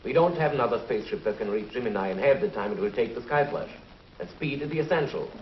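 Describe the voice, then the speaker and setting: Quick, clipped commissioner played by an actor, roomy old 1950s TV sound. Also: male voice, mid-range voice, lively intonation, dull audio, slight background noise, lightly acted. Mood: commanding. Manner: curt, official, commanding. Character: brisk authoritative male space commissioner.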